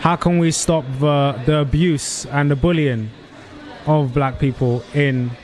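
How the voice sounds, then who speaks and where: Guy interviewer, bit higher pitched, asking questions on the street, slight background noise. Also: steady tone, a little slow, darkish tone.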